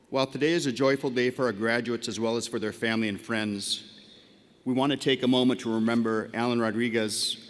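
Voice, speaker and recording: Solemn low-voiced man in an arena. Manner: solemn, careful and respectful. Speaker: ceremony master of ceremonies. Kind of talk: formal ceremony announcement. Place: large arena. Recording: long arena reverb.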